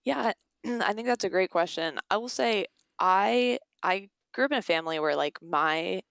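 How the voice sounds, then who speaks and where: A woman's voice: mid-range voice, animated, moderate pace, gravelly, a bit bright, no room sound, studio quality. A young woman speaking casually as an interview guest, informal and peppered with "like" and quoted asides. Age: young adult. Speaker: guest, author.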